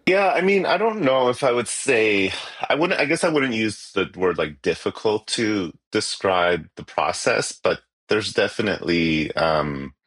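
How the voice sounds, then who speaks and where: A Canadian musician, a native Cantonese speaker, talking casually in an interview with many fillers and restarts. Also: male voice, on the low side, lively intonation, measured pace, fairly bright tone, slightly rough.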